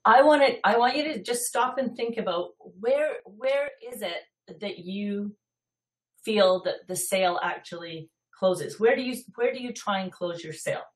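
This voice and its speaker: A woman consultant co-hosting a salon business webinar, hoarse after losing her voice, coughing now and then. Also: medium pitch, expressive, unhurried, far from the mic. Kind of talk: casual webinar chat.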